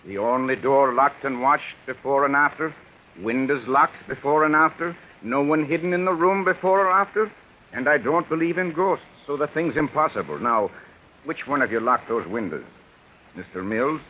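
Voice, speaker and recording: A man playing a hard-pressed police captain in an old radio suspense drama, American, questioning in a brisk, authoritative way. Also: mid-range voice, close to an everyday voice. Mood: stern, impatient. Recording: muffled vintage radio, faint rain noise.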